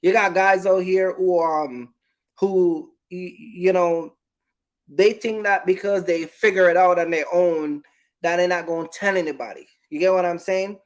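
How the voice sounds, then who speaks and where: A man's voice: high-pitched, steady tone, measured pace. A man talking informally to viewers as a business vlogger, giving emphatic, repetitive advice and often checking that they follow.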